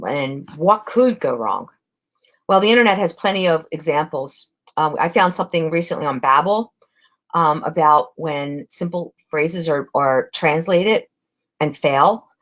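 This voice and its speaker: A woman's voice: low voice, animated, unhurried, muffled recording. A woman with a Spanish accent giving a webinar presentation in a conversational way, with frequent fillers.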